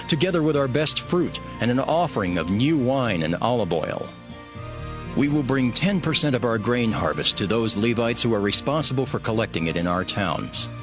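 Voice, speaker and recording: A man reading scripture as a scripted audio Bible narration, in a measured, formal way. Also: medium pitch. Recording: music behind the voice. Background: background music.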